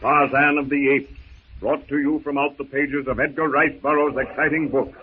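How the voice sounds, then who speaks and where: A man's voice: medium pitch, level tone, moderate pace. A man narrating a radio adventure serial in a dramatic, declamatory 1930s style. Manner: theatrical, declamatory. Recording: scratchy, tinny 1930s radio recording.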